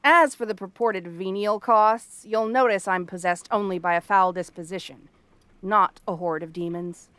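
A woman's voice: medium pitch, normal speed, a bit bright, dry recording, lightly acted. A woman playing a cranky exiled princess turned frog girl in a fantasy ASMR roleplay, haughty, sarcastic and theatrical. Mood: defensive, haughty. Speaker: voice actress.

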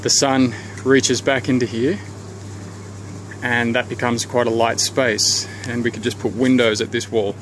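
A man of medium pitch talks through his renovation plans while filming on a handheld camera. He speaks in a relaxed, unhurried way, often stopping to rethink and restart his sentences. A faint hiss sits under the outdoor recording. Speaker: homeowner giving a renovation tour. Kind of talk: casual thinking-aloud walkthrough. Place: outdoors around a house, under a deck and in a garden. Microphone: handheld camera held by the speaker.

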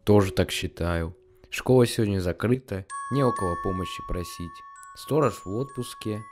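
A man voicing a polite young boy in an amateur cartoon who talks in a measured, reasoning way. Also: on the low side, over music, close to an everyday voice.

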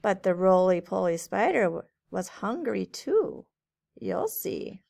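A woman teacher reading a storybook aloud to children in a measured, sing-song way. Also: medium pitch, sing-song, gravelly, darkish tone. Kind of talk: storybook read-aloud narration.

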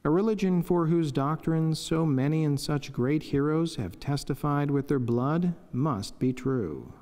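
A middle-aged friar reading a devotional reflection in a solemn, measured, formal way from a chapel lectern. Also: male voice, a little high, animated, a little mellow. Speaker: friar preaching. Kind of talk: read devotional reflection and prayer. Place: wood-panelled chapel indoors, at a lectern. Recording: long echoing chapel reverb.

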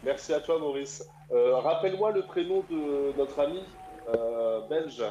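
A man's voice: fairly high pitch, a little slow, dark tone, dull audio. A man in his thirties calling in to a radio show, talking in an enthusiastic, rambling way with many fillers. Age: 30s. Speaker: caller. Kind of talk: casual radio call-in chat. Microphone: call over the internet. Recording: roomy echo, faint music behind.

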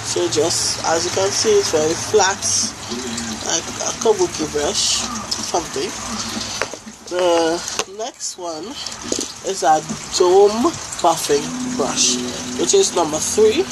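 A young woman with a Trinidadian accent reviews a makeup brush set at a slow, easy pace. She fills her pauses with "um" and "like" as she talks into a phone held close in a small room. Clear background noise runs under her voice. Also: lowish voice. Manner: slow, unhurried, with many fillers. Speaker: beauty vlogger. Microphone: phone camera held close.

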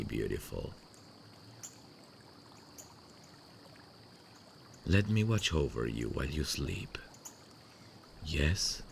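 A man playing a sweet, devoted long-distance boyfriend in an intimate ASMR roleplay, speaking tenderly and soothingly with hesitant pauses. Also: extremely low pitch, creaky, slight background noise, heavily acted. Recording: faint running-water nature sounds behind. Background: stream nature sounds.

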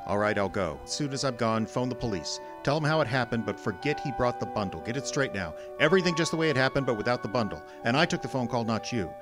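A tough, wisecracking private detective acted by a man in a noir radio play. His mid-pitched voice is terse and commanding, with faint music behind it. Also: animated, quick pace, few pauses, close to an everyday voice. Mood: urgent, commanding.

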